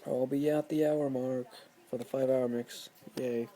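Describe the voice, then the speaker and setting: A man's voice: medium pitch, steady tone, measured pace, dark tone. A man in his twenties, tired, rambling and swearing constantly in a casual vlog. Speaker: vlogger.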